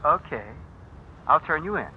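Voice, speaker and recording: An actor in an old radio drama playing a smug, menacing blackmailer, a writer who talks with insinuating mock politeness. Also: male voice, on the high side, animated, normal speed, lightly acted. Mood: cool threat. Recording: dull, noisy old radio recording.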